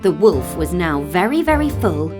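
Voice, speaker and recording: A woman narrating a children's fairytale from a script as a storyteller, expressive and deliberate. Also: fairly low pitch. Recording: music under the voice.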